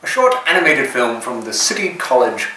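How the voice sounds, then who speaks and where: A man playing a creepy horror movie host, speaking in a theatrical, formal and deliberate way as he introduces the show. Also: mid-range voice, big pitch swings, bright, forceful tone, pitched above the performer's own voice. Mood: theatrically ominous. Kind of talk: scripted late-night horror host introduction. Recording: roomy, distant mic with faint noise.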